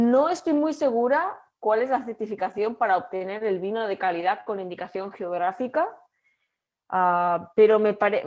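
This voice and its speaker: A young Catalan woman with a Catalan Spanish accent, giving an online lecture over a video call and speaking haltingly with frequent fillers and restarts. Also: mid-range voice, a little mellow, dull audio. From Catalonia, Spain. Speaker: guest lecturer.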